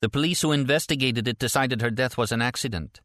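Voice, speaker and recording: Man voicing an earnest, tender woman, pitched up. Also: fast talker, fairly bright tone, no room sound, studio quality, natural voice. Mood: earnest, troubled. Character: frightened, teasing, tender American woman on a phone call.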